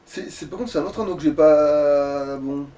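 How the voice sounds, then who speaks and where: A man's voice: fairly high pitch, moderate pace, warm tone, distant mic. A man chatting casually as he plays a game, thinking aloud in slang with some swearing. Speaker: gamer playing. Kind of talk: casual Let's Play chat.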